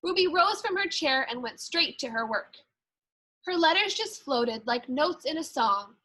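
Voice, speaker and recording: Female primary teacher for storybook read-aloud: high-pitched, bright, expressive, deliberate with dramatic emphasis. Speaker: second grade teacher. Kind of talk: expressive children's picture-book read-aloud.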